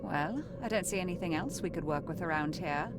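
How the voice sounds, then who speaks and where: A woman's voice: lowish voice, a little fast, a crowd in the background, lightly acted. A woman playing a refined, teasing, self-assured female student in a visual novel, speaking with genteel, mock-polite phrasing. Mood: composed. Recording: clear music behind the voice.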